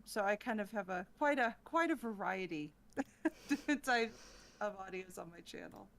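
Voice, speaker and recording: A woman chatting casually as a guest on a podcast. She speaks slowly and haltingly at a medium pitch, pausing as she goes, with slight noise behind her. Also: lively intonation. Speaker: podcast guest, ASMR creator. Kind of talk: casual chat.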